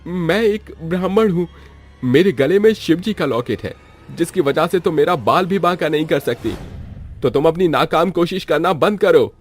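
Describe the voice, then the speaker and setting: A man's voice: fairly high pitch, expressive, moderate pace, soft background music, pitched above the performer's own voice. A man voicing a bold young writer in a moral story, earnest and declamatory. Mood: defiant. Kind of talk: Hindi moral story dramatization.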